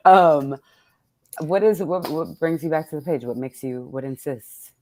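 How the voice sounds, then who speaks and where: A woman with a strikingly low voice and an American accent, recorded dry and close to silent in room sound. She hosts in a loose, unscripted style, effusive, slangy and freely profane. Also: measured pace, warm tone, no room sound. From born in Texas, United States. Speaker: host of a poets' table reading. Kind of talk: casual, unscripted conversation with fellow poets.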